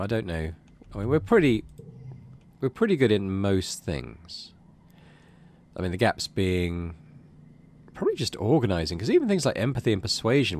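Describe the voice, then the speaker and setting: Lively, low-voiced man commentating on a game. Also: a little hiss or hum. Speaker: video game let's player. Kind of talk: casual game commentary, thinking aloud.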